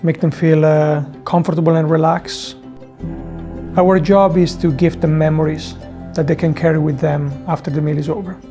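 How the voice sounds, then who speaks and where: A restaurant manager with an Italian accent, speaking in a halting, deliberate way in a reflective documentary interview. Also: medium pitch, little pitch movement, music in the background. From Italy.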